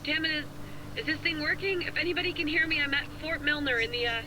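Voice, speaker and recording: A woman's voice: sits high, fast. A woman playing a frustrated, stranded teenage girl in a video game, heard through a staticky walkie-talkie. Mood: frustrated, anxious. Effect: staticky walkie-talkie radio. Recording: staticky radio with clear noise.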